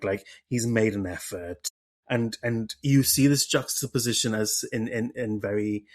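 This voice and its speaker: A man hosting a podcast, talking through a book club discussion with animated enthusiasm and frequent restarts. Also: fairly low pitch. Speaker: podcast host.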